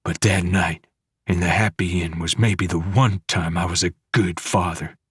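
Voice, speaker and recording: A man's voice: low-pitched, breathy edge, no room sound, studio quality, heavily acted. A man voicing a tearful, remorseful old father.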